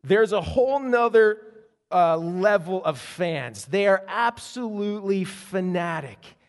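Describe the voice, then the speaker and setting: A man preaching a sermon as pastor, telling stories in an animated way with frequent restarts. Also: high-pitched, takes it slowly. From Canada. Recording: long hall reverb.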